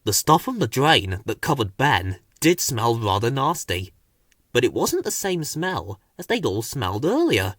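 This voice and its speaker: A man narrating a children's story as an expressive audiobook storyteller. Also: mid-range voice, a little slow, no room sound, clean studio sound.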